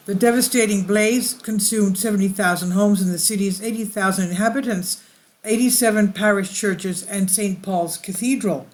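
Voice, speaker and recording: A channel host reading a news article aloud with casual asides, sometimes stumbling over words and correcting them. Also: medium pitch.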